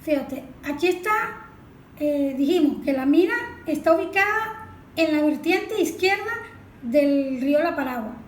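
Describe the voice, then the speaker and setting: A woman's voice: sits high, lively intonation, a little slow. A woman with a Venezuelan accent giving a didactic technical explanation as a specialist, often posing rhetorical questions. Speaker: watershed management specialist, guest analyst. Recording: echoey room, background noise.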